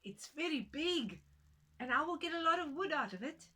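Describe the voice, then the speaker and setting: A woman's voice: sits high, animated, moderate pace, bright, forceful tone, voice pushed up. A woman voicing a kind, easily flustered woodcutting wife in an exclamatory storybook style. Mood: pleased. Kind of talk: storybook character voice.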